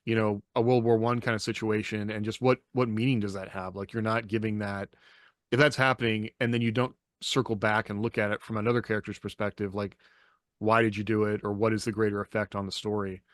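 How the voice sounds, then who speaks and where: Lowish-voiced man in a dry recording. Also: little pitch movement, a little fast, dry recording. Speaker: podcast co-host. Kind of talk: casual panel discussion.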